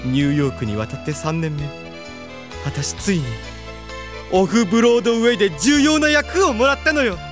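A flamboyant, effeminate man in an audio drama. His voice sits high and sweeps widely up and down. He talks in feminine phrases, stretched out theatrically, over faint music. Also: expressive. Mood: dramatic reminiscing. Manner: feminine speech, dramatic and drawn-out. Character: flamboyant, theatrical effeminate man reminiscing about youthful stage dreams. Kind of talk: Japanese audio drama acting.

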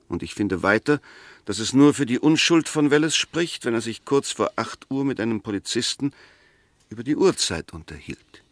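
An actor playing a methodical, argumentative defence advocate in a 1960s radio drama, deliberate and emphatic. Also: male voice, on the low side, no echo. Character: argumentative, methodical male defence advocate dissecting a murder case.

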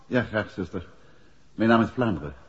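An actor with a Netherlands Dutch accent playing a polite, composed private detective in a 1960s radio play. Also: male voice, fairly low pitch, expressive, close to an everyday voice. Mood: courteous, probing. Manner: polite, measured, inquisitive. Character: courteous, composed male private detective, married. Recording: muffled old radio recording.

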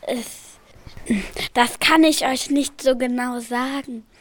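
Slow-paced young performer playing evasive mother. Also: high-pitched, steady tone, fairly bright tone. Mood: hesitant, evasive. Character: evasive mother breaking divorce news to her children. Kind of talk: amateur school radio play.

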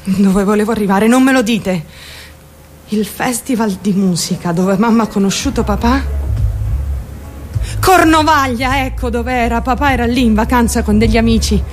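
A woman's voice: a little high, normal speed, faint music behind, natural voice. A woman acting a theatrical radio monologue as a troubled young woman, defensive and candid, rambling and addressing her listeners directly. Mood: wistful, rambling. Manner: confiding, addresses listeners directly with rhetorical questions. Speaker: actor.

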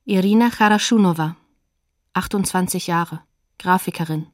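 A woman's voice: fairly low pitch, moderate pace, dry recording, clean studio sound. A woman announcing scripted documentary credits in a sober, neutral manner.

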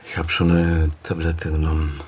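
A man's voice: rumbling low, completely flat delivery, moderate pace, darkish tone, a little hiss or hum. An actor playing a weary, defensive middle-aged doctor and father in a radio crime drama, curt and evasive. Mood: troubled, guilt-ridden.

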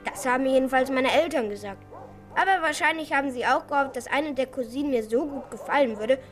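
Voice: high voice, fairly quick, close to an everyday voice. An actor in a children's radio play voicing a well-bred, clever merchant's son who explains things carefully and eagerly. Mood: thoughtful. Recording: studio radio play with music underneath.

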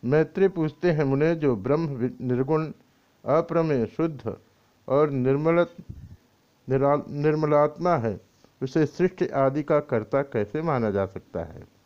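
Slow-paced man reading scripture, dull recording. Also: a little high. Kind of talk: reading aloud scriptural commentary with Sanskrit verse.

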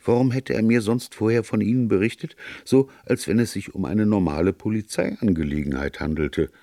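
A man's voice: fairly low pitch, moderate pace, mellow, no room sound, studio quality, voice pushed up, close to an everyday voice. A man voicing a skeptical, exasperated police inspector with a blunt, incredulous manner in an audiobook. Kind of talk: audiobook character dialogue.

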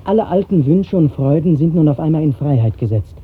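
A man's voice: fairly high pitch, expressive, brisk, husky. An actor playing a shy, dreamy young nobleman poet in 1950s radio drama, earnest and lyrical. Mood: yearning. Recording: muffled old 1950s radio recording.

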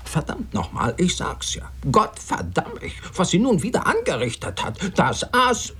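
Husky, excitable ship's cook. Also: male voice, high voice, moderate pace, full character voice. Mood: outraged. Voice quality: husky, creaky. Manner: scolding, preachy, repeats himself. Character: excitable, grumbling, pious ship's cook who curses. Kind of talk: radio drama acting.

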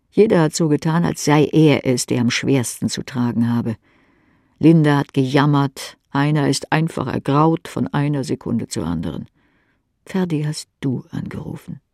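A man reading literary fiction dramatically, with emphatic phrases that drop into breathy near-whispers. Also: medium pitch, a little mellow, no room sound, clean studio sound. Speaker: audiobook narrator.

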